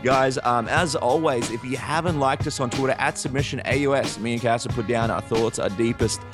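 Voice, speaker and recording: A man's voice: medium pitch, brisk, over music. A man from Ukraine co-hosting a combat sports podcast in casual chat, laughing often as he talks. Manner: chatty, laughs often, promotes channels. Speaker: podcast co-host.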